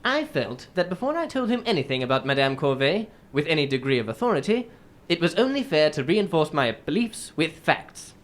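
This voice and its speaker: A woman playing a shrewd, composed English gentleman detective in a radio drama, telling his case in formal, measured phrases. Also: low-pitched, sing-song. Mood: composed, explanatory.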